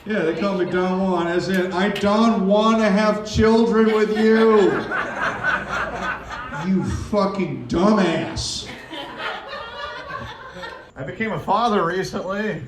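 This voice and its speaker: A man's voice: high voice, fairly even intonation, slow pace, long pauses, distant mic, noisy background. A forty-year-old man from Japan performing a crude, self-deprecating stand-up routine on stage. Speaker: stand-up comedian. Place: comedy venue on stage. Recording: echoey live club recording with crowd. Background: audience.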